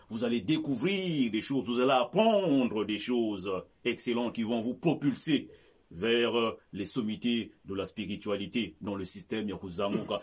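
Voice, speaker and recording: A man hosting his channel, welcoming viewers and interviewing a guest in an emphatic, animated way. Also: mid-range voice, husky.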